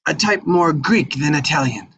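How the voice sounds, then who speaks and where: A man playing a brash, eager young Sicilian bodyguard with a raspy voice in a live script table read. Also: a little high, expressive, moderate pace, fairly bright tone, a little hiss or hum. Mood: smitten. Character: excitable young Sicilian bodyguard, brash, pleading and star-struck by America.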